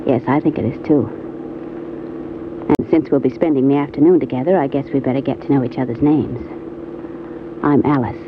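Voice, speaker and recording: A woman's voice: extremely low pitch, fairly even intonation, fairly quick, long pauses. An actress playing a poised, alluring woman in her twenties, coy and composed, in an old-time radio drama. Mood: hesitant, inviting. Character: alluring, poised young woman, about twenty-five, coyly inviting. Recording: dull, noisy vintage radio recording.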